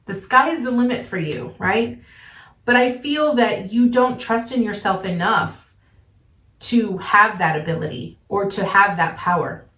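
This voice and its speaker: A woman's voice: mid-range voice, measured pace, a bit bright, distant mic. A woman giving a casual tarot reading, earnest and reassuring, often checking in with "okay?", her voice a little worn from congestion. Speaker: tarot reader. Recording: echoey room, voice a little off-mic.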